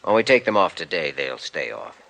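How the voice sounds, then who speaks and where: An actor playing an earnest young doctor in a 1950s radio drama, polite and a little hesitant. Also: male voice, sits low, big pitch swings, moderate pace, creaky, a bit bright, full character voice, rough recording.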